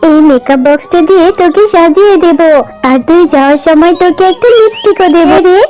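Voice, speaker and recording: Extremely high, eager little girl. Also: a little fast, darkish tone, soft background music. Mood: generous, cheerful. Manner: childlike, eager. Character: generous, cheerful well-off little girl. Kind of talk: children's cartoon dubbing.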